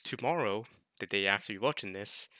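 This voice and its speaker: A man in his late teens chatting casually to viewers as a video host, jokey and animated, repeating words in bursts as he rambles. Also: on the low side, brisk, no echo. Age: late teens, turning twenty. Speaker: host of a video series reading a visual novel.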